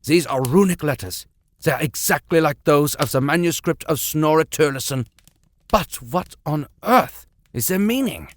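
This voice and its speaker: A man's voice: a little high, lively intonation, normal speed, pitched above the performer's own voice, close to an everyday voice. Man acting an imperious old professor, wildly swooping delivery. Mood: excited, puzzled. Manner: declamatory, exclamatory.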